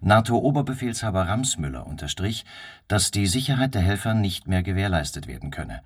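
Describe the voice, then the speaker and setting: A detached radio newsreader with a deep voice. The delivery is formal and impersonal, with a husky grain to the sound. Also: male voice, sing-song, a little fast, heavily acted. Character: impersonal male radio newsreader reporting on war. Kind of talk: formal news bulletin.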